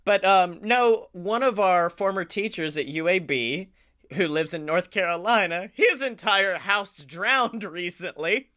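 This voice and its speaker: An American man co-hosting a comedy podcast, telling stories casually in an animated, sweary way with chuckles in his speech. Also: high-pitched, a little slow. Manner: animated, swearing, often chuckling while talking. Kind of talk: casual comic storytelling.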